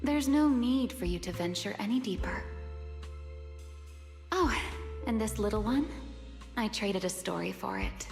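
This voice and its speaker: A woman playing an enigmatic, composed young game character who speaks in a measured, wistful, formal way. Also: mid-range voice, lively intonation, creaky, a little mellow. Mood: solemn, gentle. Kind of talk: video game voice acting. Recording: long echoing reverb over music.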